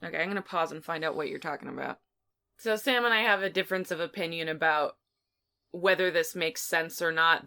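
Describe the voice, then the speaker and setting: Woman, podcast co-host, slightly low pitch, crisp bright tone, casual review chat.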